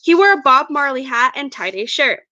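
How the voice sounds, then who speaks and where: A woman's voice: high-pitched, animated, normal speed, pushed and bright, no room sound, highs cut off. A woman reading her own fan fiction aloud as first-person narration, an amateur who stumbles over words now and then.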